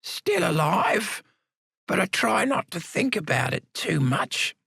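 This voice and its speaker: A toothless old man in his nineties, voiced by a man reading a story, speaks in a shrill, piping voice. He is stubborn and cantankerous, and his pitch jumps high and swings widely. The sound is close and dry. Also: big pitch swings, slow, creaky, pushed and bright, breathy edge, dry recording, full character voice. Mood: frail.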